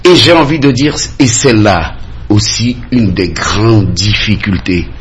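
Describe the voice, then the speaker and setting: A man's voice: fairly low pitch, unhurried, slight background noise. A man from Guiana, speaking insistently and repeating phrases as a candidate in a radio campaign debate. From French Guiana. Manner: insistent, emphatic, repeats phrases to drive points home. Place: radio studio.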